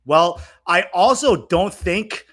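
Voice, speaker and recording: A man's voice: high-pitched, animated, slow, fairly bright tone. A man speaking casually and emphatically on a podcast, giving opinions with repetition for stress. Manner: emphatic ranting with frequent repetition. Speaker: podcast guest.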